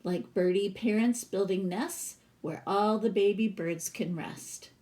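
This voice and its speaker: A woman reads a children's picture book aloud at a slow, measured pace. Her pitch sits in the middle range. She delivers the story expressively, like a teacher, and steps out of it casually to explain the pictures. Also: warm tone. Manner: pauses to explain the pictures to listeners.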